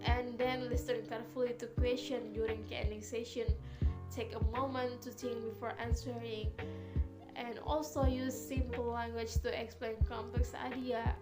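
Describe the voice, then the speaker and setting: A young Indonesian woman with a non-native accent in English, presenting a class report in a halting way, with many fillers and repeated words. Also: on the high side, little pitch movement. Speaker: student presenter. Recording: loud music bed behind the voice. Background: loud instrumental music.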